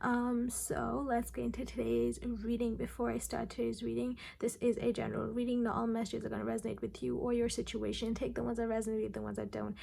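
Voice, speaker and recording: Hoarse raspy woman reading tarot. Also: on the high side, completely flat delivery, a little fast, hardly any pauses, mellow. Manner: chatty, addresses viewers directly with frequent ums. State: hoarse from losing her voice. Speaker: tarot card reader. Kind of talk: casual pick-a-card tarot reading.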